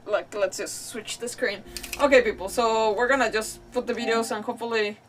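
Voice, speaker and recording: Female streamer, higher register, loose unscripted chatter, apologetic and self-mocking. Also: steady tone, brisk, recorded from a distance, slight background noise. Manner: rambling, self-deprecating, apologetic. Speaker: livestream host. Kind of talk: casual live stream chat.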